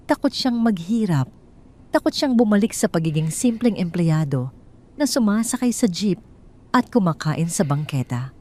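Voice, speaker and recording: Woman storyteller, first-person narration, calm and measured, fairly low voice. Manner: calm, measured, coolly descriptive.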